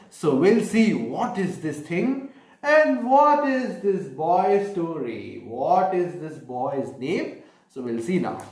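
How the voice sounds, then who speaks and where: A man in his thirties reading a picture book aloud to children, explaining it simply and asking lots of questions. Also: a little high. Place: small bedroom, indoors. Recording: echoey room.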